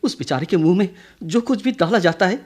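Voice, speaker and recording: An actor playing a flustered young male servant, excitable and scolding, grumbling in a radio drama. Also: sits high, a little fast. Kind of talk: Hindi radio play acting.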